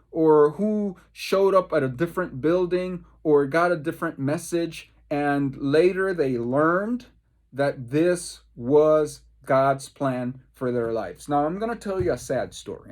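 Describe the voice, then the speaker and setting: Expressive male pastor telling stories. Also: on the high side. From Caribbean. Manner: emphatic storyteller, repeats phrases for effect, dramatic pauses. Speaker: pastor leading a Bible study. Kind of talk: devotional Bible teaching with personal stories.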